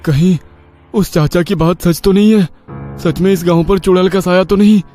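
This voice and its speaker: A man's voice: high-pitched, fairly even intonation, normal speed, mellow, pitched above the performer's own voice, natural voice. A man voicing a curious, earnest young village man in a horror story. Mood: alarmed. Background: background music.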